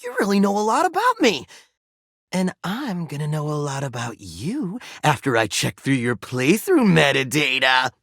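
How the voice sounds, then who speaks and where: A man's voice: a little high, wildly swooping pitch, moderate pace, a bit bright, no room sound, studio quality, exaggerated voice. A man voicing a hyperactive goofball game mascot, campy and theatrical, full of playful silliness. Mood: cheeky, playful.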